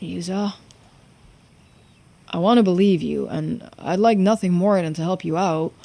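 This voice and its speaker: A woman's voice: fairly low pitch, unhurried, vocal fry, mellow. A woman voicing a frank, loyal young sidekick in a video game, with a casual, emphatic, questioning manner. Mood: concerned, probing.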